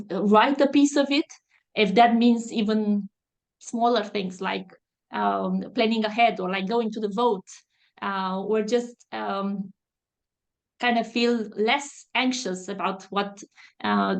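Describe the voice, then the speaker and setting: A woman's voice: medium pitch, fairly even intonation, slow pace, creaky. A woman from Romania, a foresight consultant, speaking as a podcast guest in careful, hesitant English with many fillers. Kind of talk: podcast interview, video call.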